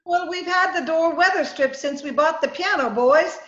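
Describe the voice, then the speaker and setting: A woman's voice: very high-pitched, normal speed, fairly bright tone, echoey room, dull audio. A woman playing a patient, wry, affectionate housewife in old-time radio comedy, on a video-call recording.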